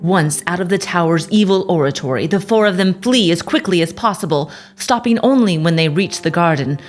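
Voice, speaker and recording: Low-pitched woman narrating an audio drama. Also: expressive, a little fast. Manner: storytelling, theatrical. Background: music.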